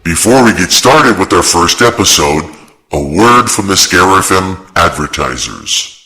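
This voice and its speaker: A man's voice: deep voice, very animated, unhurried, bright, forceful tone, heavily acted. A man voicing a spooky, menacing ghost host, giving a scripted horror-radio introduction in a theatrical, sinister manner. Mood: sinister welcome.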